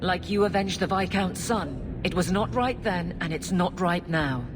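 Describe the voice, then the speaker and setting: A woman's voice: on the low side, a little slow, background noise, lightly acted. A woman voicing a stern, dutiful female guard captain in a video game, firm, formal and no-nonsense. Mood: firm, disapproving. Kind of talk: video game cutscene acting. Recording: music under the voice.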